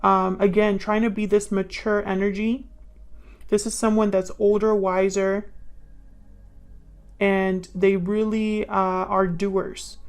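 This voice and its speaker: Woman, tarot reader, flat intonation, heavy vocal fry, halting, musing, improvised delivery. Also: medium pitch. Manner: musing, halting, thinking aloud while reading cards. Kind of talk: improvised tarot card reading to viewers.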